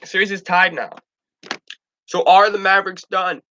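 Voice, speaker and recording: A man's voice: high voice, fairly even intonation, normal speed, bright, forceful tone, dull audio, slight background noise. A young man hosting a casual sports talk stream, stuffed up from allergies, rambling with stumbles and restarts.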